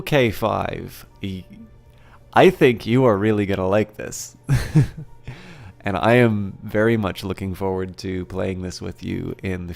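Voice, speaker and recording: A man with a low, creaky voice chats to viewers on a live game stream, with faint music underneath. He sounds a bit rough, as if getting over an illness. His delivery is halting and rambling, as he thinks things through aloud. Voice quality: slightly rough voice. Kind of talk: casual live stream chat, greeting viewers.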